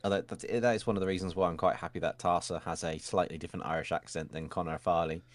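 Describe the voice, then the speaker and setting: Deep-voiced man chatting casually. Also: steady tone, fast talker. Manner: chatty, laughs often, trails off mid-sentence. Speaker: art streamer. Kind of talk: casual chat while drawing.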